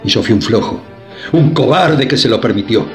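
A man's voice: medium pitch, animated, moderate pace, roomy sound, faint music behind, lightly acted. A man playing a defiant middle-aged son. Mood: resolute. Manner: firm, earnest, impassioned. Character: middle-aged son defying his mother, resolute.

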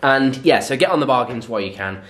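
A man's voice: mid-range voice, quick pace. Chatty young man vlogging from his car. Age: 20s. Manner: chatty, rambling, animated with hand gestures. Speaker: fitness vlogger. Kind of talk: casual vlog chat. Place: inside a parked car, then a home garage gym. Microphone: camera mic at arm's length. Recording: noisy car interior with traffic outside.

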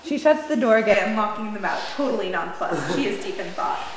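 A woman's voice: mid-range voice, lively intonation, brisk, a loud crowd. Young woman narrating in an echoey room. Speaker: reader of stage directions. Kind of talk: scripted narration at an informal table read. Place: indoor lounge with a seated group reading scripts. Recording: echoey room with long reverb.

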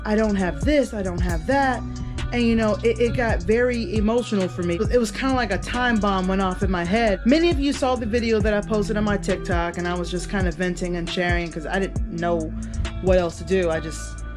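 A woman's voice: mid-range voice, a little fast, music in the background. Chatty woman in her thirties vlogging. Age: 30s. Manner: chatty and candid, laughs easily. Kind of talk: casual vlog talk to camera. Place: bedroom at home.

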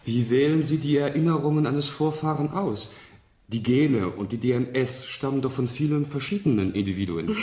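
An actor playing a polite, calm social scientist in a radio play, asking educated questions. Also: male voice, mid-range voice, moderate pace, close to an everyday voice. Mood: calm, curious. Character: inquisitive, polite male social scientist as test subject. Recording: echoey room.

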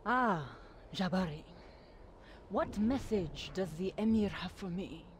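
A haughty goddess villain from a fantasy game. Her delivery is slow, imperious and gloating, every phrase deliberate. Clear ambient noise sits behind the voice. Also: medium pitch, expressive. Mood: imperious, pleased. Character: haughty, scheming villainous desert goddess, female. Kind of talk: video game villain acting.

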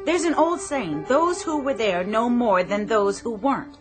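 A woman's voice: on the high side, animated, a little slow. An actress playing an indignant, insistent woman customer at a department store in a radio play. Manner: insistent, complaining. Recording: music playing behind the voice.

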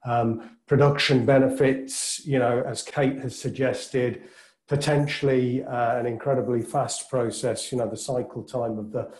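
A man's voice: mid-range voice, flat delivery, measured pace, a little mellow. Enthusiastic man on a roomy webcam. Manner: enthusiastic, with repeated words and fillers. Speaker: webinar host from the organising company. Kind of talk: webinar moderation over video call. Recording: roomy video call audio.

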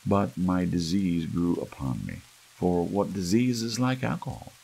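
A low-voiced man reads a gothic horror tale as an ASMR audiobook. His voice is husky and full of gravelly fry. He moves through the text in a measured way, leaving deliberate pauses between phrases. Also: mellow, low-quality recording. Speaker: audiobook narrator.

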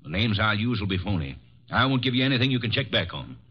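A man's voice: on the low side, sing-song, quick pace. An actor playing a big, blunt, vengeful man in an old radio drama. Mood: grim, vengeful. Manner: blunt, colloquial. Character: big, bearded, vengeful man with rough grudge. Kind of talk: 1950s radio drama acting. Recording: muffled old radio broadcast recording.

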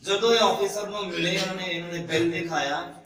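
A man's voice: high-pitched, fairly quick, a bit bright. A young man preaching to a congregation, quizzing the crowd in call-and-response. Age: young adult. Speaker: pastor. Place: church hall with congregation. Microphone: handheld mic at a pulpit, filmed from afar. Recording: echoey hall, distant mic, crowd.

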